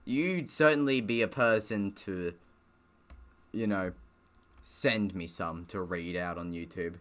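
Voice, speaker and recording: A man's voice: sits low, slow, fairly bright tone. A man giving casual live gaming commentary and reading a visual novel aloud, with a sweary, joking, giggly manner.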